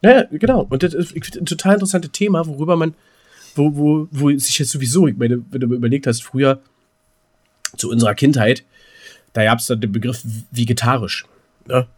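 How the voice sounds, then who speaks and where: A man in a casual German podcast chat, talking in an animated, colloquial and teasing way as co-host. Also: medium pitch, a little fast, slightly rough.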